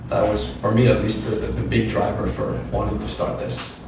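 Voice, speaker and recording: A man in his late thirties talks things through at an even pace on a podcast, keeping his pitch fairly flat. He sits back from the microphone in a very echoey room, with a faint hiss behind him. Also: normal speed, far from the mic. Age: 30s. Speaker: gym co-founder. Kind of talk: podcast conversation. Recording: roomy echo, off-mic, faint noise.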